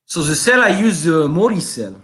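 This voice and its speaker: A man's voice: fairly high pitch, normal speed, a little hiss or hum. A man from France with a French accent, chatting casually and animatedly about his electric skateboards. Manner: animated, jokey, says "you know" and "my friend" often. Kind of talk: casual chat.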